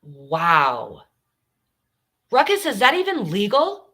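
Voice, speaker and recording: A woman's voice: mid-range voice, huge pitch swings, takes it slowly, pushed and bright. A woman hosting a true crime livestream podcast, talking casually and earnestly with lots of ums. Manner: earnest, chatty, with many fillers.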